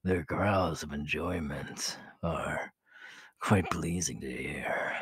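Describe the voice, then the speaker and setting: A cocky, cruel young werewolf king, acted by a man for an ASMR roleplay. His voice is very low and heavily breathy, often falling into a husky whisper. He takes his time, teasing and possessive, with menace under it. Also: a bit bright, no room sound, heavily acted. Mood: gloating, teasing. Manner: slow, teasing, menacing drawl.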